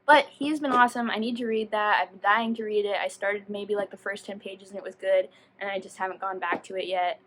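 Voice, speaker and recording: A woman's voice: medium pitch, steady tone, a little fast, few pauses, a bit bright, slight background noise, poor audio. A woman chatting casually to viewers as a book vlogger, rambling and self-deprecating as she goes off on tangents. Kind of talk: casual chatty video talk.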